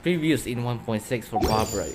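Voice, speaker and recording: A man giving casual gameplay commentary in loose, halting, broken phrases. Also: medium pitch, background noise. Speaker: gameplay video host. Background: loud game music.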